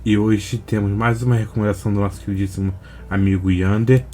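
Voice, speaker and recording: A man's voice: on the low side, flat delivery, brisk, dark tone, a little hiss or hum. A man with a Brazilian accent hosting a reaction video in laid-back, slangy, casual chat.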